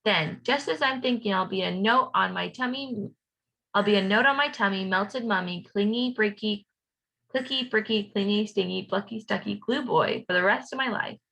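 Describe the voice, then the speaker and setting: A woman reading a children's storybook aloud as a playful young schoolboy narrator, expressive and rhythmic. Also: medium pitch, normal speed, creaky, low-quality recording. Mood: dramatic.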